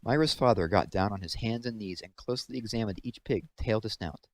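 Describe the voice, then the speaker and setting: A man with a fairly low voice reads a children's novel aloud. He is the narrator, delivering scripted prose as a story. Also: a little fast, dry recording.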